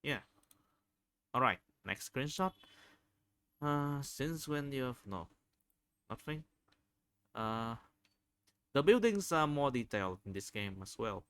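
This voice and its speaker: A man giving unscripted video commentary, halting often as he searches for words and repeats phrases. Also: mid-range voice, animated, fairly bright tone, clear, resonant tone, no room sound. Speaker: video host reviewing game screenshots.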